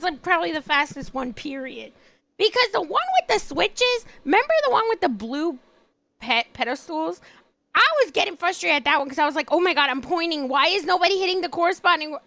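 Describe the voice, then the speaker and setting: A hoarse young woman chats on a live stream, her voice very high and bright. She tells an animated story, often laughing and acting out what people said. The sound is close and dry. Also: no echo. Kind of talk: casual live-stream chat.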